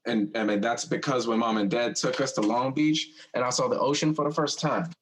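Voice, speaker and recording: An actor playing a teasing, insistent young older brother in an audio drama, drawling and stammering at times. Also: male voice, medium pitch, a little fast, a little hiss or hum, lightly acted. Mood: eager, pressing. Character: teasing older brother, a restless young man who has died. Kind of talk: stage-style audio drama dialogue.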